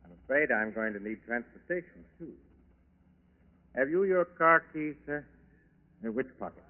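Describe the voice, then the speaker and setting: An actor playing a polite, cool-headed armed burglar in 1940s radio style, speaking courteously and unhurriedly. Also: male voice, medium pitch, expressive, lightly acted. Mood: politely regretful. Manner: courteous, unhurried, chatty while menacing. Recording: muffled 1940s radio broadcast recording.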